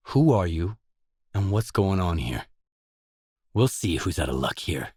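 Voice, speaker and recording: A man's voice: lowish voice, darkish tone, no room sound, clean studio sound. A man playing a matter-of-fact mercenary stalker, the hero of a video game, speaking in terse, businesslike dialogue lines.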